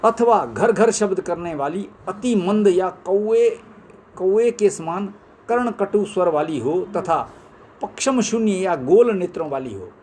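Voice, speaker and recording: Higher-pitched man reading scripture, noisy recording. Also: expressive, unhurried, darkish tone. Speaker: reader of scripture. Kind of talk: reading scripture aloud, with brief asides.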